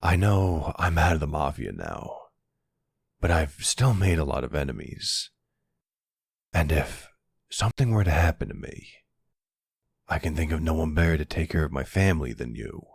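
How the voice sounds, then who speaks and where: A man playing a weary, affectionate older retired mafia boss in an audio roleplay, gently gruff and unhurried. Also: very deep voice, very animated, no echo, studio quality, full character voice. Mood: earnest.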